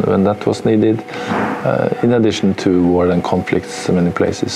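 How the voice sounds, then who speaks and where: A man's voice: fairly low pitch, vocal fry, background noise. A man working as a seed vault coordinator, explaining in a plain, matter-of-fact way during an interview.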